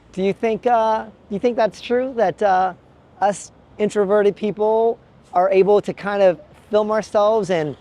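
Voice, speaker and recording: A man from the Midwest vlogs outdoors into a small handheld camera, his voice a bit high and mellow. He muses aloud, pausing and restarting his sentences as he thinks things through. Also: a little hiss or hum. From Midwest United States. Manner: thoughtful and musing, with frequent pauses and restarts. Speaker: travel vlogger. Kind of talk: reflective vlog monologue to camera. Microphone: handheld pocket gimbal camera.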